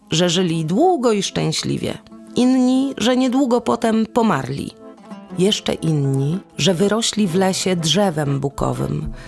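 Slow, low-key woman telling folk tales, slightly creaky. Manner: measured, deliberate phrasing with repetition for effect. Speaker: storyteller and host of a podcast series. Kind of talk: oral folk-tale storytelling, scripted narration. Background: instrumental music.

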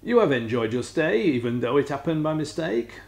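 A man's voice: medium pitch, animated, moderate pace, creaky, a little mellow. A man putting on a polite Frenchman. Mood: curious. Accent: French, put on. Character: polite helpful Frenchman, adult male.

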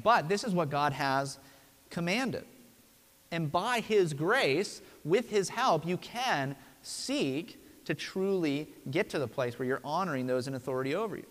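A man's voice: on the high side, long reverb tail. A man in his thirties preaching a conversational sermon from a pulpit in a church sanctuary, often checking in with "right?". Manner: conversational, frequent tag "right?". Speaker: pastor.

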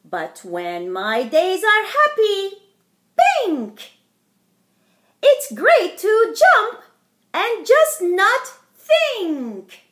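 Middle-aged woman, children's storytime reader, theatrical delivery, very slow pace, animated intonation, roomy home recording. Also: very high voice, slight background noise. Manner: theatrical, slow and deliberate, addressing small children. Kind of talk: expressive read-aloud of a picture book for young children. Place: indoor wood-panelled living room.

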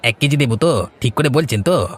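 A man's voice: mid-range voice, lively intonation, brisk, husky. Eager Bengali cartoon fishmonger, acted by a man. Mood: insistent. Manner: deferential yet insistent sales patter. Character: eager, insistent village fish seller. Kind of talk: cartoon voice acting.

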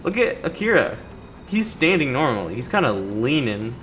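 A man's voice: medium pitch, big pitch swings, normal speed, fairly bright tone, a little hiss or hum. A man giving casual let's play commentary as a gaming video host, griping with impatient sarcasm. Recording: faint game music behind.

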